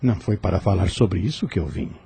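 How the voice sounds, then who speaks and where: A man's voice: sits low, expressive, quick pace, dark tone, heavily acted. A man playing a polite, determined, skeptical fellow in an audio drama, formal and reserved. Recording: clearly noisy background.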